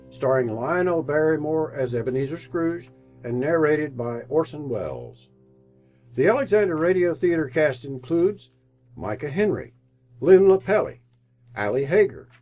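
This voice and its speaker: A male narrator in old-time radio style, theatrical and emphatic. His pitch is a little high and moves widely as he tells the story, with light music underneath. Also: a little mellow. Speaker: narrator and announcer.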